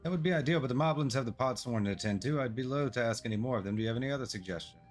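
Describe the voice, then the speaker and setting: A man's voice: mid-range voice, very fast, soft background music, close to an everyday voice. A man reading the part of a busy, businesslike travel agency boss from a fantasy video game. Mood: concerned.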